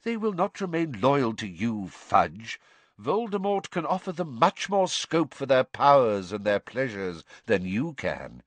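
A man voicing a calm, powerful elderly male wizard who speaks in a cool, measured, firm way, in a storybook reading. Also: medium pitch, no echo.

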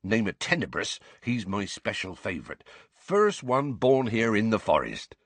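A man's voice: on the low side, big pitch swings, measured pace, fairly bright tone, dry recording, full character voice. A man voicing a kindly, bumbling giant of a teacher with a hesitant, folksy manner. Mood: flustered. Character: kindly, gigantic rustic male teacher, cheerful and bumbling.

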